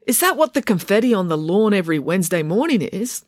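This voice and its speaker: Woman voicing a jittery teen boy for a storybook reading; stammers, pitch jumps around, dry close sound. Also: sing-song, fast, dry recording. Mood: bewildered. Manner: stammers, hesitant. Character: nervous stammering teenage boy.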